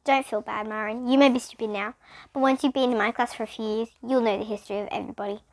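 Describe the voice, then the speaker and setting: A woman's voice: a little high, fairly even intonation, fast, a little mellow, natural voice. A female reader voicing a strict, blunt, matter-of-fact woman schoolteacher in a children's storybook. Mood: brisk, superior.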